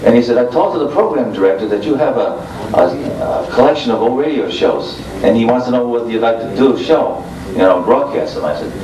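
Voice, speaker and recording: An older man moderating a panel informally, speaking in a hesitant, halting way. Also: mid-range voice, expressive, a crowd in the background. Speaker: radio show host and panel moderator. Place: convention room before an audience. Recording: roomy, distant, noisy informal video recording.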